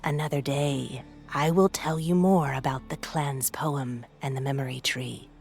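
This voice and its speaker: An actor, a woman, playing a solemn clan elder and lorekeeper in a fantasy game, speaking in grave, measured storytelling. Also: rumbling low, fairly even intonation, gravelly, darkish tone, faint music behind, full character voice. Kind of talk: video game cutscene acting.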